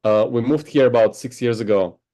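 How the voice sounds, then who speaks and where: A man who teaches meditation, answering interview questions in a slow, reflective way and often checking in with "right?". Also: mid-range voice, warm tone, low-quality recording. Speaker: spiritual teacher. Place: home on a Hawaiian island.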